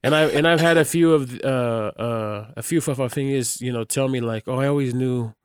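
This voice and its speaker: A man's voice: mid-range voice, normal speed, clean tone, no echo, clean studio sound. A man hosting a podcast in casual chat, speaking with slangy emphasis and often checking that listeners agree. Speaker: podcast host.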